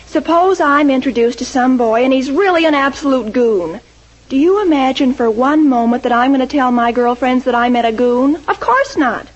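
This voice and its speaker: A woman's voice: high voice, animated, moderate pace. An American woman playing an earnest, gushing teenage girl next door in a 1940s radio comedy, explaining things in a girlish way. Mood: knowing, gushing. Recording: dull old radio recording.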